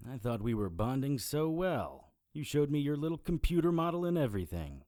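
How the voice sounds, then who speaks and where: A man's voice: on the high side, sing-song, brisk, mellow, no echo. An actor playing a wisecracking private investigator who narrates his own story in a radio drama. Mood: sardonic. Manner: dry, sardonic wisecracks. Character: Harry Strange, wisecracking male private investigator. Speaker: narrator and lead character. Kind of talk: hardboiled first-person narration.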